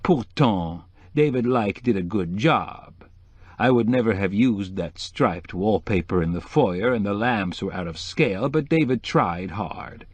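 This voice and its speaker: A man's voice: on the low side, expressive, measured pace, breathy edge, no room sound. A man voicing a witty, flamboyant decorator in an audiobook, sprinkling French phrases into hip slang. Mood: careful. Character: flamboyant, witty male interior decorator, sly and gushing. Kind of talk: acted audiobook dialogue.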